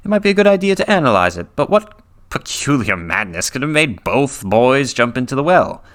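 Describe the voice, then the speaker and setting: A man giving a dramatic reading of a horror story, theatrical and sometimes dropping to a hushed, breathy tone. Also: mid-range voice. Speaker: reader.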